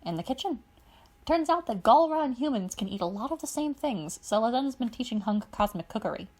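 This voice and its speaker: A woman reading aloud, voicing a chatty, cheerful older male royal advisor in a brisk, fussy, upbeat manner. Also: on the high side, sing-song, a little mellow, voice pushed up.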